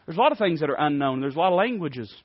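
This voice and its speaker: A man's voice: on the high side, big pitch swings, rapid-fire, no room sound, dull audio. A man with an Appalachian accent preaching an impassioned sermon in a folksy, emphatic manner with rhetorical questions. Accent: Appalachian American. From Appalachia, United States.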